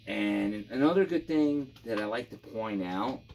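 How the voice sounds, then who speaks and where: A man's voice: fairly low pitch, animated, a little slow, darkish tone. A man reviewing guitar gear in a casual, informal and rambling product demo style with some enthusiasm.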